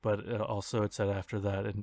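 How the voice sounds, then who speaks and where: Male podcast co-host, lower register, informal and halting explainer, dry recording. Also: little pitch movement, gravelly, a little mellow, no room sound. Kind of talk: casual explanatory podcast chat.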